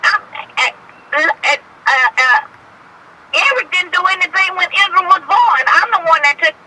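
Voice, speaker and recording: A woman's voice: extremely high pitch, expressive, measured pace, bright, forceful tone. A middle-aged woman in a heated phone conversation, indignant and insistent, repeating herself as she protests. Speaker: prank call target. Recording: phone line with faint noise.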